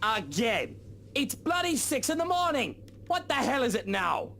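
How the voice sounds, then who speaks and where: A man's voice: extremely high pitch, very animated, a little slow, gravelly, fairly bright tone, faint music behind, pitched above the performer's own voice, full character voice. A man voicing a furious, snobbish rich homeowner in a visual novel, scolding emphatically and haughtily.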